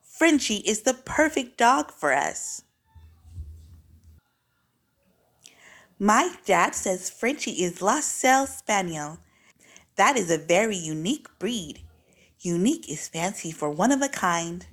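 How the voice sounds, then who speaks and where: A woman voicing an excitable young girl who loves fancy words, narrating a storybook in a dramatic, precise manner. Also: mid-range voice, a little slow. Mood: pleased. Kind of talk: storybook read-aloud, first-person child narrator.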